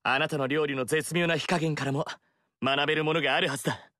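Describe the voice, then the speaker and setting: An earnest, eager young warrior who speaks formally and politely. His voice sits a bit high for a man. The game studio recording is dry and close. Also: steady tone, fast, sharp tone, no room sound.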